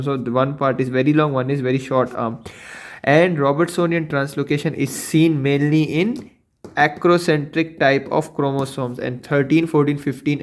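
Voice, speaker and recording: A man lecturing in an explanatory science lesson, didactic, posing questions and then answering them himself. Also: mid-range voice. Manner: didactic, asks and answers his own questions.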